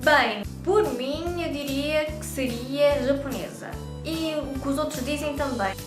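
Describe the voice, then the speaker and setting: A chatty young woman with a European Portuguese accent speaks casually to her camera in a high voice. She is recorded in an echoey room, with music running clearly underneath. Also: unhurried. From Brazil. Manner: chatty and talkative. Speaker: YouTube vlogger.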